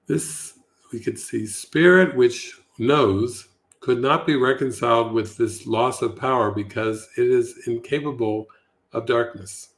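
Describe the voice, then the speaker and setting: A man with a mid-range voice leads a devotional talk as a spiritual teacher. His delivery is earnest and measured, suited to reading and reflecting on spiritual text. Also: mid-range voice.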